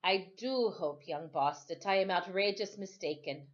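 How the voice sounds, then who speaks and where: A woman voicing a calm, patient older island sailor man in a storybook reading, with drawn-out, folksy phrasing. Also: lowish voice, lo-fi sound, close to an everyday voice. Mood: grave. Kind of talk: storybook read-aloud.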